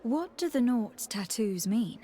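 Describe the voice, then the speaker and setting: A woman's voice: a little high, sing-song, measured pace, warm tone, slight background noise. An actress playing a courteous, caring noblewoman emissary in a video game, polite and composed in formal phrasing. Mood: considerate. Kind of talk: video game dialogue acting.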